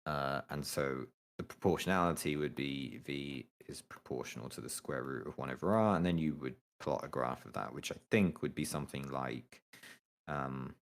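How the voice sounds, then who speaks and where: A young man with an extremely deep, low voice talks through physics equations. His casual delivery is halting and full of ums, with the odd swear word. He sounds offhand about the material. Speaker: co-host explaining astrophysics. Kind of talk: casual study chat.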